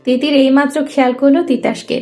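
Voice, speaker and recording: A woman's voice: high voice, flat delivery, fast, mellow, faint music behind. A woman narrating a scripted romantic story.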